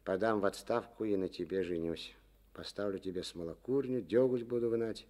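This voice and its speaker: A man's voice: lowish voice, moderate pace, dark tone, close to an everyday voice. A man playing a stubborn, middle-aged collective farm chairman in a Soviet radio play, with sly, rustic, grumbling speech. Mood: brooding, teasing.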